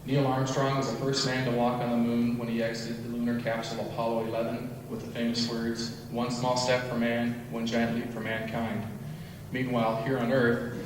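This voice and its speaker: Man, steady reading, distant mic, background noise. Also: medium pitch, brisk. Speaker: student presenter. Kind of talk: reading a prepared speech. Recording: echoey hall, distant mic.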